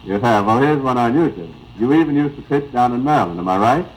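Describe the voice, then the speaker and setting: A man's voice: mid-range voice, lively intonation, fast. An American man interviewing an athlete with scripted banter on a 1930s radio broadcast, slightly distorted.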